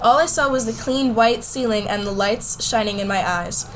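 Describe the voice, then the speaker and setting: High-voiced high school girl narrator, voiced by a woman. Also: normal speed, natural voice, poor audio. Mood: narrating. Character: bold, competitive high school girl telling her story. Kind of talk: scripted first-person radio drama narration.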